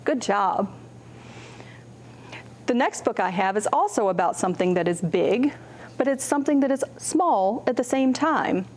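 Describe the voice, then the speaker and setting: A woman hosting a children's storytime, reading and explaining in simple, deliberate phrases for young children. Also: a little high, lively intonation, slight background noise.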